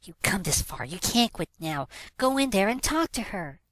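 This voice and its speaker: Man voicing a supportive pony girl, raised pitch. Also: sing-song, normal speed, dry recording. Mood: encouraging. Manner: gentle, firm, encouraging. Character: calm, supportive young female unicorn pony friend. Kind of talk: fan fiction read-aloud.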